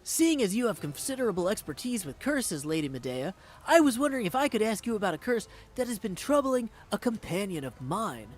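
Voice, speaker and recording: A woman's voice: medium pitch, very animated, a little fast. A woman playing an earnest, courteous young sorceress heroine in a video game, speaking in a formal, inquiring way. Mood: polite, probing. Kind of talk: scripted video game voice acting.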